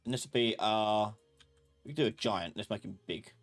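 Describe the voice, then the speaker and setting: A man teaching a live software class, thinking aloud in a casual, informal way as he explains step by step. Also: fairly low pitch, normal speed, soft background music.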